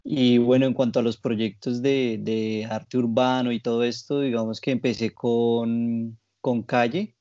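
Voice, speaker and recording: Colombian male guest, dark mellow timbre, reflective, conversational, close dry sound. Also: medium pitch, level tone, unhurried, few pauses, dry recording, muffled recording. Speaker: interview guest, graphic designer and muralist. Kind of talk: casual conversational interview.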